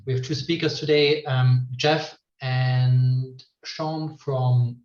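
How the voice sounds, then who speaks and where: A man's voice: mid-range voice, steady tone, takes it slowly, a little mellow. A man casually hosting an online meetup over a video call. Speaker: meetup organiser and host. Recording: roomy, distant, dull call audio.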